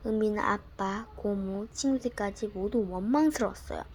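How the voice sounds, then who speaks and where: A soft-spoken young woman reading a bedtime storybook aloud in a gentle, lilting voice. She sits fairly high in pitch and often sinks to a near whisper. A faint background hum runs under the recording.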